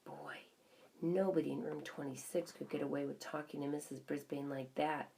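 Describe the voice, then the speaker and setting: A woman's voice: sits low, fairly even intonation, normal speed, gravelly, dark tone, a little hiss or hum. A woman voicing a small, observant pet hamster who tells a children's story in chatty asides. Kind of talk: first-person children's storybook narration.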